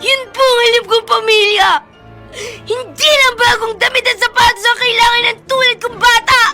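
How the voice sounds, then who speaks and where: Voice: very high voice, level tone, moderate pace, pushed and bright, faint music behind, exaggerated voice. An actor playing a distressed runaway young boy with a Filipino accent in a radio drama, pleading tearfully and emphatically. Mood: anguished defiance. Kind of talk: Philippine radio drama acting.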